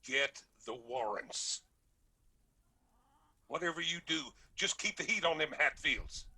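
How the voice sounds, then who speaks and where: A man playing a stern, weary, grieving backwoods patriarch in a radio drama, with a raspy voice and an Appalachian accent. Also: fairly high pitch, animated, slow, sharp tone, exaggerated voice.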